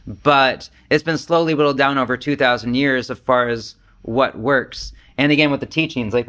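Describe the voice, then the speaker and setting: A man's voice: medium pitch, a bit bright. A man speaking as a Zen teacher, giving a casual, conversational talk to a group in a rambling, self-deprecating way with joking asides. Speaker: Zen teacher and podcast host.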